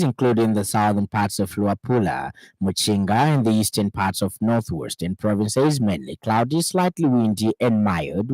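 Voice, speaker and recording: Low-voiced Zambian man reading radio news. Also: darkish tone, no echo, poor audio. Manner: formal, measured delivery. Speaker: news reader. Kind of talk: scripted radio news bulletin.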